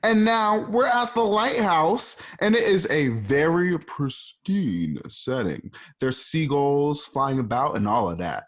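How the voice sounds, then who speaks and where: A man's voice: a little high, lively intonation, takes it slowly, few pauses. A man narrating scenes of an amateur radio play in a loose, chatty way. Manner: loose, chatty, repeats himself.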